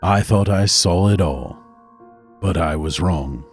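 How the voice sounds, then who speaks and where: A man acts a haunted doctor in a horror audio drama. He is grave and appalled, his voice low, with faint music underneath. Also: takes it slowly. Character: haunted, horrified male doctor, reluctant lab assistant.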